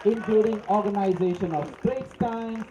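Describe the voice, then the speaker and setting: High-voiced hesitant man. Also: moderate pace, mellow, highs cut off, a faint crowd, a little hiss or hum. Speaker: protest speaker at press conference. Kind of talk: press conference answer.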